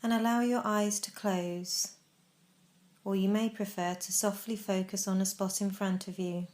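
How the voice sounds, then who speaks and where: Female meditation narrator, mid-range pitch, instructional and measured, leaving deliberate space between phrases. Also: fairly even intonation, a little mellow. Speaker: meditation guide.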